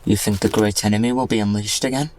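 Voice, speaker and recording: A man's voice: fairly low pitch, fairly even intonation, fast talker, a little mellow, slight background noise, natural voice. A man playing an earnest, plain-spoken young titan prince in a fantasy audio drama. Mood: uneasy.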